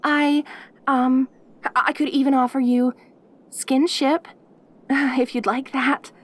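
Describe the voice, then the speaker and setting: A woman's voice: high voice, flat delivery, slow. A woman voicing a perky, lonely yandere demon girl in an intimate audio roleplay, eager and stammering as she dotes on the listener. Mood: nervous, devoted. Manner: eager, stammering, giggly, devoted.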